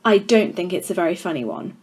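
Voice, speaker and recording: A woman's voice: on the low side, animated, brisk, a little mellow, breathy edge. Woman reading as a mild, reproving schoolteacher. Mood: disapproving.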